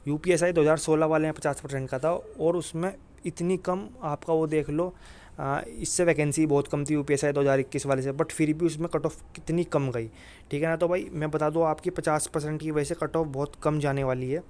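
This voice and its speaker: Higher-pitched man, informal coach. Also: fairly even intonation, a little fast, darkish tone. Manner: repetitive, emphatic reassurance with frequent filler address. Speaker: exam coaching YouTuber. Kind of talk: informal exam guidance talk.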